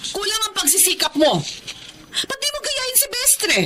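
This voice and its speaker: A high-voiced Filipino wife in a radio drama, scolding and demanding. Her emphatic delivery swings widely in pitch. The recording has clear background noise. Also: sing-song, normal speed. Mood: angry, threatening. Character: nagging, money-pressed wife and mother, sharp-tongued and demanding.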